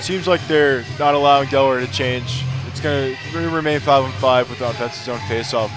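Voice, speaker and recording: A man's voice: mid-range voice, brisk, music in the background. A man giving conversational, analytical color commentary on a live hockey radio broadcast.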